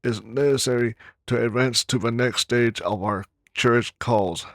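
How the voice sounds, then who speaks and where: A man's voice: medium pitch, normal speed, no room sound, clean studio sound. A man playing through a video game, reading its dialogue and letters aloud. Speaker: gamer recording a playthrough.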